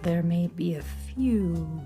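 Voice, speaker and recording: A woman's voice: lowish voice, slow pace, dark tone, faint music behind. A woman playing a melodramatic beatnik cat-girl from the Moon in a children's radio play, with grand, world-weary pronouncements. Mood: protesting.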